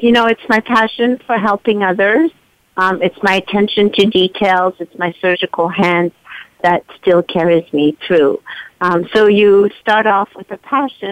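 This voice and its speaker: A woman's voice: lowish voice, no echo, poor audio. Earnest woman doctor telling her story. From Iran. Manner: earnest, personal storytelling with fillers. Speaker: guest doctor. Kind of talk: radio interview.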